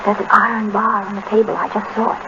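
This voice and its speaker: A woman's voice: mid-range voice, level tone, fast talker, close to an everyday voice. A woman playing a plucky, strong-willed, teasing wife of a sleuth in a 1930s radio drama. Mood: frightened. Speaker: radio actor. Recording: muffled, noisy 1930s radio recording.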